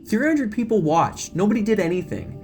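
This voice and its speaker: A man's voice: high voice, sing-song, fairly quick, darkish tone, voice pushed up. A man voicing a troubled, earnest young woman student who pleads insistently in an audio drama. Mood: urgent.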